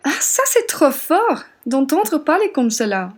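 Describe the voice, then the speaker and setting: High-voiced, spirited Frenchwoman acted by a woman. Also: very animated, a little slow. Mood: outraged. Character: spirited, generous French patriot woman, fiery and plain-spoken. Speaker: student actor. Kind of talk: radio play acting in French.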